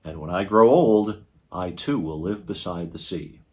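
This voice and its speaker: A man's voice: low voice, expressive, unhurried. A man voicing an eager, curious little girl in a storybook, speaking simply and earnestly.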